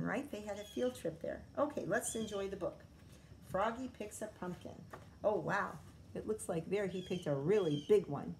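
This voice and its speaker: A woman's voice: mid-range voice, a little hiss or hum. A woman teacher reading a picture book aloud to young children, expressively, with chatty explanations and questions.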